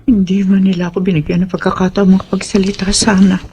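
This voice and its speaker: A woman's voice: medium pitch, flat delivery, quick pace, close to an everyday voice. An actress playing an anxious, pleading middle-aged village mother in emotional radio drama. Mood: worried. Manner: earnest, pleading. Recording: loud music behind voice.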